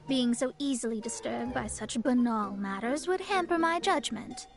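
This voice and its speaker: Proud young astrologist, voiced by a woman: high, lofty, formal, over game music. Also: moderate pace, natural voice. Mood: haughty. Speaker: game character. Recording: game audio under music.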